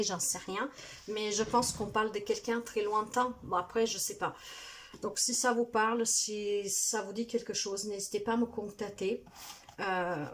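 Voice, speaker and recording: A woman spiritual healer speaking to her viewers in a soft, earnest, halting way, full of fillers, and sounding tired. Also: mid-range voice, slight background noise. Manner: hesitant, halting, many fillers. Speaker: spiritual healer and card reader. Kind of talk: casual monologue to viewers.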